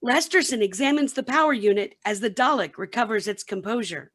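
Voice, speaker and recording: A woman's voice: fairly high pitch, fairly quick, a bit bright. A woman reading scripted narration for a radio play, with some background noise.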